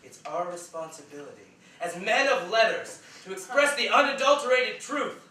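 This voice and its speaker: Heard from afar in a very echoey theater, an actor plays an earnest, self-important male writer. His voice is high and bright, and he delivers his lines with declamatory emphasis. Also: expressive, moderate pace. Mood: earnest, grandiose. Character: earnest, pompous male writer who argues and pontificates. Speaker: stage actor. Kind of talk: staged play reading. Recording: very echoey room, distant mic, faint hiss.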